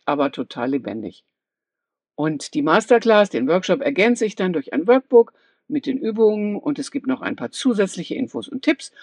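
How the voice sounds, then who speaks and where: A woman's voice: on the low side, a little mellow, dry recording, studio quality. A woman who works as a voice coach, giving an enthusiastic podcast monologue of business tips. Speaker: voice coach for singers.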